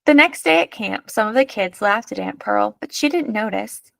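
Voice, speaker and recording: A woman's voice: fairly high pitch, expressive, normal speed, creaky, no echo. Woman narrating a children's picture book, dry sound. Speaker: read-aloud narrator.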